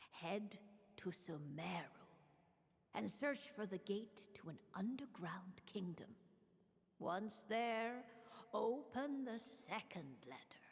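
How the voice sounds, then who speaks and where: An elderly female witch mentor narrates a letter in a video game. She is slow and theatrical, with a wistful, sly storyteller's delivery. Her voice rings in long reverb over music. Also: mid-range voice. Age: older.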